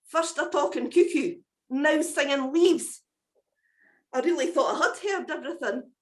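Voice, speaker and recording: A woman with a Scottish accent voicing Jack, a gentle, soft-hearted young lad, in a told folk tale. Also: high-pitched, unhurried. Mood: wondering.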